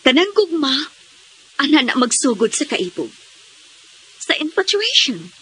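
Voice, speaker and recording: High-pitched anxious mother, Visayan Filipino accent, fretful, evasive, emotive radio drama acting. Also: very animated, unhurried, fairly bright tone, full character voice, rough recording. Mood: worried scolding. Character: anxious, evasive mother of a teenage daughter, secretly lovesick.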